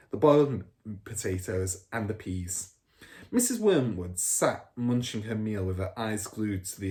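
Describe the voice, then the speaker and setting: A man's voice: lowish voice, a little mellow. A man reading a children's storybook aloud expressively, like a primary school teacher.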